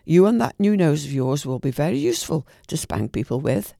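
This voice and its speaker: A wise rock python from a storybook, as a reader puts it on, speaking in a slow, measured way with a gravelly texture. The voice rises and falls expressively, close and dry. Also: medium pitch, sing-song, warm tone, dry recording. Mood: sly. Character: wise old python snake.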